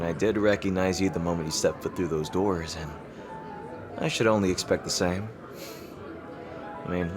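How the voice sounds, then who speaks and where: A man playing a teasing rival king in an intimate dramatic roleplay, halting and smug yet easily flustered. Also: sits low, lightly acted. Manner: halting, teasing, with soft chuckles and pauses.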